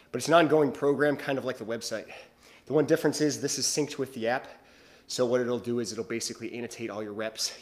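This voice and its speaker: A male bodybuilder vlogging to his viewers in casual chat, rambling and hesitant, with lots of fillers and restarts. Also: mid-range voice.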